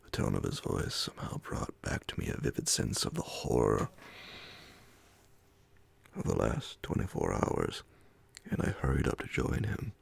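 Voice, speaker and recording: A man reading classic horror fiction as an ASMR audiobook, in a hushed, measured, breathy and gravelly voice. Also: rumbling low, wildly swooping pitch. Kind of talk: ASMR audiobook scripted narration.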